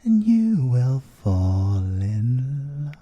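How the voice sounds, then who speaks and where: A British man playing a possessive, scheming siren in an ASMR audio roleplay, seductive and theatrical, with drawn-out words and whispers. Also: mid-range voice, very animated, mellow, no room sound, highs cut off, heavily acted. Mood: menacing, singsong. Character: possessive, scheming male siren, obsessive lover and villain.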